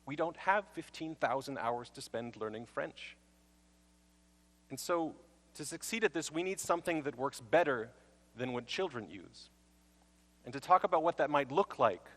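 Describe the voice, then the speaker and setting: A man in his thirties telling a story in a talk, wry, with comic pauses before the punchlines. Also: mid-range voice, a bit bright. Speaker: speaker giving a talk. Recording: long reverb from a large hall.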